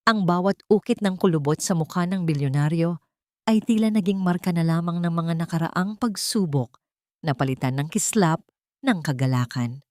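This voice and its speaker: Woman, lowish voice, scripted story narration, sentimental measured phrasing, close dry sound. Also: a little mellow, no echo. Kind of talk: scripted audio drama narration in Tagalog.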